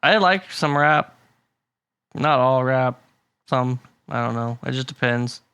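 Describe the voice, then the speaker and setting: A man's voice: medium pitch, takes it slowly, pushed and bright, clean tone, no echo, highs cut off. A man co-hosting a podcast about music, chatting casually with blunt opinions and frequent laughs. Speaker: podcast co-host. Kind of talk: casual chat.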